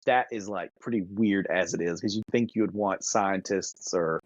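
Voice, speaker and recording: A man co-hosting a podcast, chatting casually and thinking out loud in a conversational way. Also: low-pitched, moderate pace, no echo, highs cut off. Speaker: podcast co-host. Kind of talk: casual discussion.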